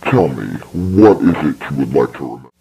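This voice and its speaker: A man playing an eerie animal showman of a magic fountain in a horror cartoon, grandiose and ominous, with a gravelly voice. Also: rumbling low, animated, muffled recording, exaggerated voice. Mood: grandly inviting. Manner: grandiose carnival barker, slow ominous delivery. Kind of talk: horror animation character acting.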